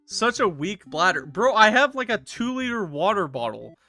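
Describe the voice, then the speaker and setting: A man chatting and commentating on a livestreamed game in an excitable, playfully combative way, bantering with viewers and swearing freely. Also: high-pitched, normal speed, soft background music. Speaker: live streamer playing a game. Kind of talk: animated casual livestream chat and game commentary.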